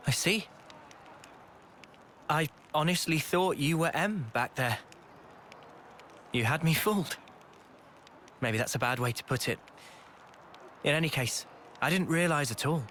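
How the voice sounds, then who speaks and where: A man playing a gentle, earnest young hero weighed down by regret in a dramatic video game cutscene. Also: a little high, a little slow, long pauses, slight background noise. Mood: quiet surprise.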